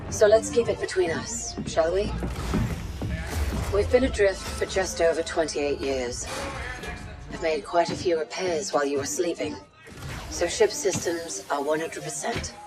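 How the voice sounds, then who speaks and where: A dutiful female ship's computer for a sci-fi game cutscene. The voice sits fairly low, and the manner is formal and wistful, with polite wit. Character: wistful, dutiful female ship AI saying farewell. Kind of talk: video game cutscene acting.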